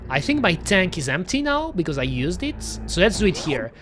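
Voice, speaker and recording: Belgian-accented, French-native streamer; chatty casual game commentary, very animated pitch. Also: fairly quick, soft background music. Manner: chatty, talks to chat, lots of "you know" and "pretty much".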